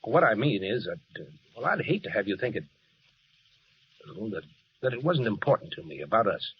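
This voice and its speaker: An actor playing a brash, lovestruck American lieutenant in a 1940s radio war drama, with an American accent. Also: male voice, lowish voice, big pitch swings, normal speed. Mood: awkward, hesitant. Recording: dull, hissy vintage radio recording.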